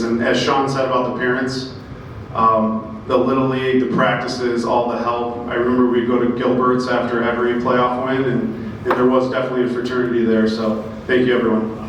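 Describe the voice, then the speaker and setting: Man telling a ballgame story, echoey hall. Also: medium pitch. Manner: animated anecdote-telling, acting out quotes. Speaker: former player giving a speech. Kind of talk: storytelling speech at a banquet. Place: banquet room. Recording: echoey room, distant mic, faint noise.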